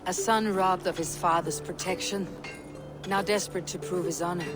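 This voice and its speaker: A woman playing a stern, confident Viking chieftain in a video game, speaking in a measured, commanding way. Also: lowish voice, steady tone, over music, natural voice. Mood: measured, probing. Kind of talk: dramatic video game acting.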